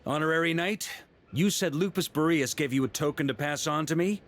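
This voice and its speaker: An actor playing a confident knight leader who explains things in a measured, authoritative way. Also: male voice, on the high side, a bit bright, close to an everyday voice. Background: game music.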